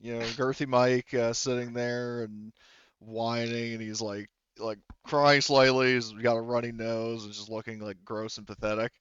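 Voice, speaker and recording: A man working as game master, narrating a tabletop game in a casual, improvised, halting way. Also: mid-range voice, flat delivery, a bit bright, clean tone, no room sound, dull audio. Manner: halting, conversational.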